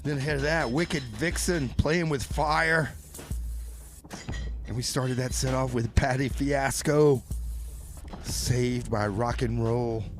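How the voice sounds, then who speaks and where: A man's voice: medium pitch, steady tone, slow pace, pauses often. A man hosting community radio, moving between music announcements and comedy sketch dialogue. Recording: clear noise behind the voice. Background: background noise.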